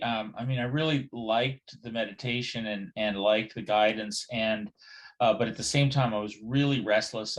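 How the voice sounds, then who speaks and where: A middle-aged man on a video call, asking a question with many pauses, "um"s and restarts. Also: medium pitch. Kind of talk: hesitant spoken question.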